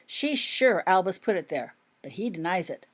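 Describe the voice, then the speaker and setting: A woman's voice: fairly low pitch, very animated, moderate pace. An easygoing schoolboy from a storybook, read by a woman, who talks casually and persuasively. He is matter-of-fact about everything. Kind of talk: audiobook character dialogue.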